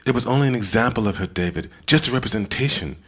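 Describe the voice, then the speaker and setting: Man playing a worldly, animated robot companion. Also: mid-range voice, quick pace, breathy edge. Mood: patient. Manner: cautioning, confident, showy. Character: smooth, patient male robot companion, worldly and playful.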